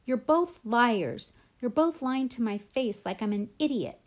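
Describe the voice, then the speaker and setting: A woman voicing an angry, wounded young boy shouting at his family in a storybook. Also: fairly high pitch, animated, a little slow. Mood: accusing, furious.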